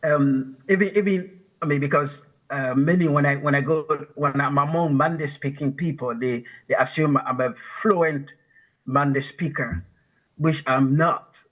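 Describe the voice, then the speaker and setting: A man from Liberia speaks in an unscripted panel chat, his voice bright and a little raspy. He hesitates often, repeating words and laughing lightly as he searches for phrasing. It sounds like a writer answering questions on a public stage. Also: a little high, measured pace, low-quality recording. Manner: hesitant, many restarts and laughs. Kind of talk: casual conversation on stage. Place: stage of a public talk venue.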